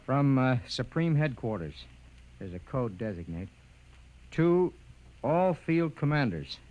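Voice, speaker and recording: An actor playing a stiff, proud military officer, formal and clipped, in a 1950s radio drama. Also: male voice, medium pitch, big pitch swings, takes it slowly, lo-fi sound. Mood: formal, testy. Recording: noisy old radio recording.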